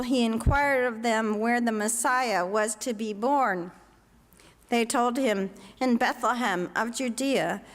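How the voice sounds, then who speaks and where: A woman reads scripture to a congregation in a solemn, measured and deliberate way. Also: fairly high pitch. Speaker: scripture reader at a church service. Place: inside a church sanctuary. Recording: long reverberant church hall.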